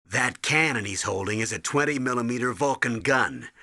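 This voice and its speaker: An actor playing a stern older colonel in a video game, briefing and giving orders with a husky, commanding voice. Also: male voice, mid-range voice, moderate pace, pushed and bright, no echo, clean studio sound. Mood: instructive. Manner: commanding, urgent, instructive.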